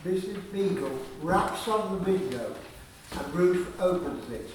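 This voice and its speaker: A man narrating a scripted radio play in a measured way. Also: sits high, lively intonation. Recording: echoey room, faint noise, distant mic.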